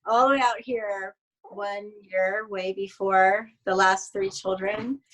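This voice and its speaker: A woman's voice: mid-range voice, fairly even intonation, takes it slowly, low-quality recording. A middle-aged woman chatting casually as a panelist, sharing stories in conversation. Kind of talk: casual chat.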